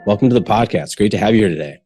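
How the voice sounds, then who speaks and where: A man's voice: fairly low pitch, lively intonation, very fast, dark tone, soft background music. A man hosting a podcast, reading a prepared introduction and then chatting with friendly, short acknowledgements. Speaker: podcast host. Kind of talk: scripted intro then casual interview.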